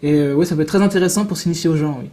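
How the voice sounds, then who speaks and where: Quick, mellow higher-pitched man. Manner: informal, rambling explanations. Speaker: guest presenting visual novels. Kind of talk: casual enthusiast explanation.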